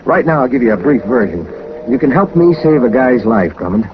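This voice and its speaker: A noisy, dull vintage radio recording of a man playing a tough American homicide lieutenant. He has a mid-pitched voice and a wry, slangy, hard-boiled way of talking from 1940s radio drama. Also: very animated, a little fast, natural voice. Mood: businesslike, insistent.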